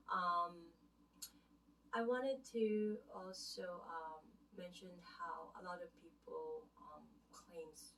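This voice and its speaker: A woman with a Filipino accent talks through everyday faith and relationship advice in a loose, rambling way, often tagging on a quick "right?". Her voice sits on the lower side and is picked up from some distance from the mic, so it sounds a little remote. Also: slight background noise. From Philippines. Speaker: vlogger. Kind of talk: casual spiritual advice talk.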